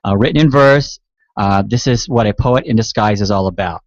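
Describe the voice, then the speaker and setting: A man's voice: on the low side, normal speed, no room sound. A man hosting a radio show about writing, chatting in an upbeat, encouraging and joking way with his guests. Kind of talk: casual radio chat. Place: radio studio. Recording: dry, dull-sounding radio studio audio.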